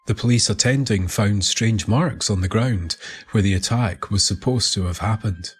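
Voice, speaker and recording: A man narrating a scripted documentary story in a measured, deliberate manner. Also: on the low side, husky. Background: music.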